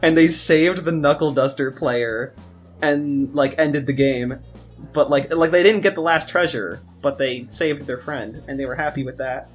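A young man in casual podcast chat as a co-host, talking in a halting way and often rephrasing as he goes. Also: a little high. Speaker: podcast co-host, game designer. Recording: music behind the voice. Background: background music.

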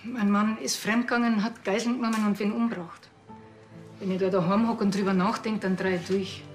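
An actress playing a plain-spoken, weary and defensive wife in a TV drama. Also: female voice, medium pitch, steady tone, fairly quick, faint music behind, close to an everyday voice. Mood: apologetic, weary.

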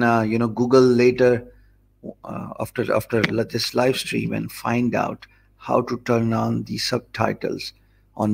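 A man in his forties with a Pakistani English accent, teaching live to viewers in a slow, easy, conversational way from an office. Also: medium pitch, gravelly. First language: Urdu. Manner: deliberately slow, easy speech; thinks aloud, loose and conversational. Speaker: online course instructor. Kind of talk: live stream talk to viewers. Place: office room, indoors. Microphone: desk mic in front of him.

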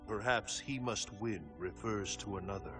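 An actor playing a grave, commanding thunder god guardian in a video game, speaking in formal, portentous phrases. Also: male voice, on the low side, moderate pace, fairly bright tone, natural voice. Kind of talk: dramatic video game cutscene acting. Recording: background music under the dialogue.

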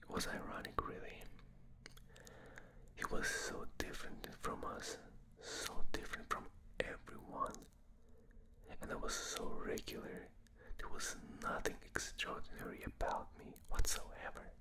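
A man with a non-native accent reading a novel aloud in a soft, fully unvoiced ASMR whisper on a binaural microphone, late at night. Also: unhurried. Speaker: ASMR reader. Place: dark room at night, reading from a tablet.

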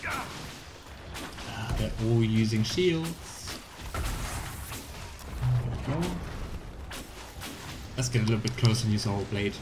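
A man in his thirties giving casual game commentary as a streamer, musing aloud to himself. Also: lowish voice. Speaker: let's play streamer. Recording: game ambience noise behind voice. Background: video game ambience.

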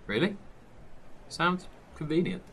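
A man's voice: fairly high pitch, big pitch swings, slow pace, a bit bright, a little hiss or hum, exaggerated voice. A man playing an easygoing, helpful friend in a fan audio drama, casual and matey. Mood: keen. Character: easygoing, helpful male friend of a time traveller. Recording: amateur recording with music behind.

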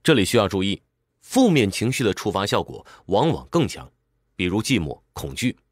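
A man's voice: fairly low pitch, big pitch swings, normal speed, dry recording, studio quality. A man narrates a scripted explainer in a didactic way, posing questions and answering them. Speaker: audiobook summary narrator.

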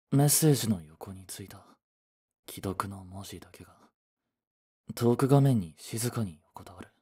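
Guy acting a proud but regretful young boyfriend in a voice drama, mellow n breathy, slow talker. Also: lowish voice. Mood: subdued narration. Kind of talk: romantic voice drama acting with introspective narration.

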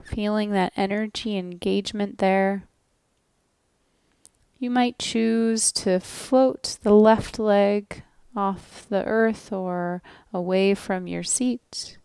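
A woman guides a relaxation practice at a very slow, unhurried pace. Her voice is smooth and clear at a medium pitch, with gentle, soothing instructions and long pauses. The sound is close and dry. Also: dry recording. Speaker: yoga nidra instructor.

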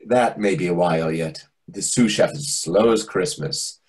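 A man's voice: low-pitched, moderate pace, breathy edge. A man acting in a comic readers theatre play as a defensive, indignant restaurant waiter, over a video call. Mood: eager, hesitant. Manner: indignant, animated. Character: defensive, excitable restaurant waiter, longing for respect. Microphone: computer microphone on video call.

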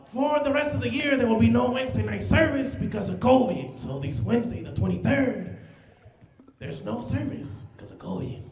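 A man doing a deadpan comic news segment on a church stage, dryly repeating his running gag. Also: very high voice, gravelly, reverberant. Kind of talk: deadpan comedy sketch. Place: large church stage, indoors. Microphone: handheld mic.

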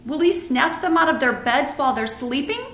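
Woman as an insistent little girl, very high-pitched. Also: lively intonation, brisk, fairly bright tone, reverberant. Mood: distressed. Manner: emphatic, insistent, argumentative. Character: earnest, indignant, determined little girl. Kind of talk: children's storybook character reading.

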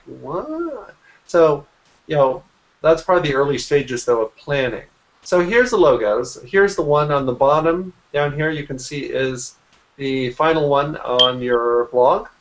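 A man's voice: medium pitch, poor audio. A man in his forties, a graphic artist, casually explaining his work in an interview over a video call from a room at home. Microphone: computer mic on a video call.

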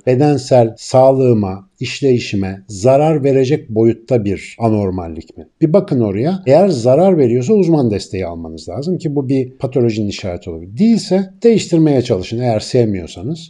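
A man in his forties hosts a talk to camera and explains his points at length. His voice is very dark and mellow, and he gestures constantly with his hands as he speaks. He sounds like he is on a webcam in a small room at home. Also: mid-range voice. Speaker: host. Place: small room indoors with guitars on the wall.

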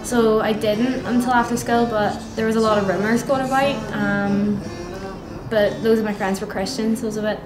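A woman's voice: fairly high pitch, fairly even intonation, normal speed. A woman, answering interview questions hesitantly with frequent ums. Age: 20s. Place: indoor youth centre room. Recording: echoey room with music underneath.

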